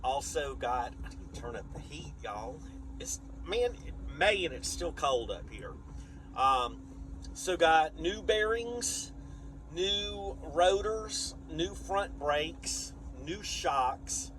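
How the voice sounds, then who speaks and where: A man's voice: a little high, animated, slow pace, fairly bright tone, low-quality recording. A man chats casually and plainly in a folksy, rambling vlog style. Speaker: vlogger. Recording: clear vehicle and outdoor noise.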